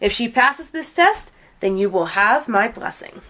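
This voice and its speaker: A woman's voice: fairly high pitch, lively intonation, measured pace, fairly bright tone. A woman voicing a regal penguin queen mother in a storybook, formal and insistent.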